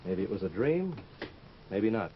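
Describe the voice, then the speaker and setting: An actor playing a brooding, intense sculptor in love, in old-time radio drama style, on a muffled vintage recording. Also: male voice, lowish voice, very animated, moderate pace, slight background noise. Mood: earnest, intense.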